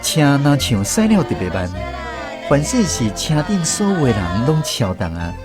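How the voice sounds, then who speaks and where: A man's voice: medium pitch, big pitch swings, moderate pace, music in the background, lightly acted. A man with a Taiwanese accent narrating a radio drama as a reminiscing storyteller. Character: reminiscing male storyteller of a touring troupe.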